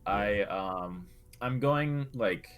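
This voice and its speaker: A young man co-hosting a podcast, talking casually about video games in halting, hesitant phrases. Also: lowish voice, expressive, fairly bright tone, soft background music. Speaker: podcast co-host.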